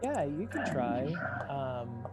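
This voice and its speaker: A game master improvising tabletop narration in a halting, conversational way, speaking to the players directly. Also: medium pitch, big pitch swings. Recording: music playing behind the voice. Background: music.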